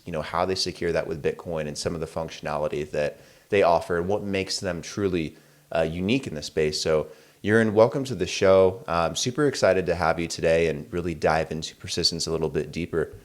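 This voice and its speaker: A man hosting a podcast interview, upbeat and eager, speaking in quick bursts. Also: sits low. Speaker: podcast host.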